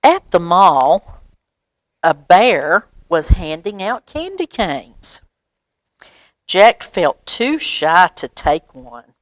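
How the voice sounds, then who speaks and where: A woman's voice: lowish voice, no room sound. A woman reading a picture book aloud to children as a library storyteller, stopping for asides and questions. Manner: expressive, pauses for asides and questions to young listeners.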